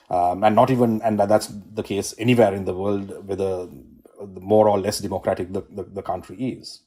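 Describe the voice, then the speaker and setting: A man in his forties from Sri Lanka, a constitutional law academic, giving measured interview answers with many pauses and restarts over a video call. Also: fairly low pitch, mellow.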